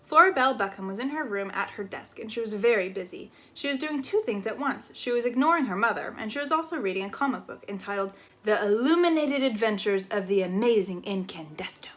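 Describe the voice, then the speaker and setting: A woman reading a children's chapter book aloud in an expressive storytelling style. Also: medium pitch, fairly quick, few pauses.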